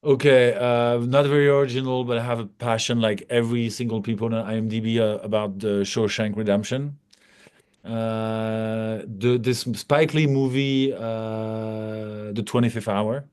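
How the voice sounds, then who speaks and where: French-accented male director, lowish clear smooth voice, casual and hesitant in interview. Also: steady tone, a little slow, darkish tone. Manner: hesitant, searching for words. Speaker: film director being interviewed. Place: office in Paris, on a remote call.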